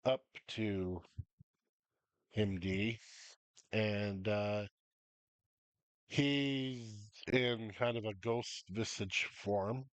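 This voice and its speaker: A man's voice: on the low side, drawn-out words, long pauses, dry recording. A man with a stuffy nose and a cough, rambling as the game master of a tabletop game, full of ums and uhs.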